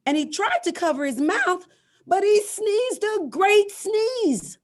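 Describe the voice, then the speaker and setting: A woman's voice: extremely high pitch, very animated, slow. A woman narrating a scripted story in reader's theater style.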